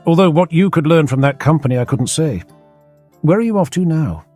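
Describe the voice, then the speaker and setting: A man's voice: fairly high pitch, lively intonation, soft background music, voice pushed up. Man putting on a coy, lilting noblewoman. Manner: formal, measured, gently teasing. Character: poised, coy noblewoman teasing her brother. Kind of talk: fantasy audiobook character dialogue.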